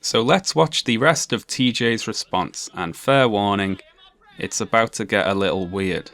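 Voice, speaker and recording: A young man with a monotone, dryly sarcastic delivery, narrating a commentary video essay. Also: lowish voice, unhurried.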